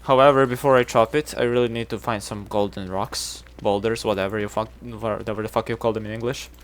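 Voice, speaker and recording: A man's voice: lowish voice, steady tone, a little fast. A man from Serbia with a Serbian accent, chatting casually to his viewers while streaming a game, rambling and self-deprecating. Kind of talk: casual livestream chatter with chat.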